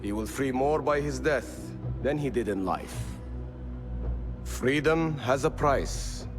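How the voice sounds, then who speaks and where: An actor playing a solemn, defiant rebel leader in a video game, speaking in a grand, declamatory way. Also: male voice, medium pitch, expressive, slow pace, over music. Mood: solemn, resolute. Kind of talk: cinematic video game acting.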